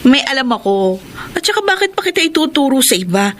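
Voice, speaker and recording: A chatty, helpful best friend. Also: female voice, high voice, big pitch swings, fast, slight background noise. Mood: friendly. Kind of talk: radio drama acting.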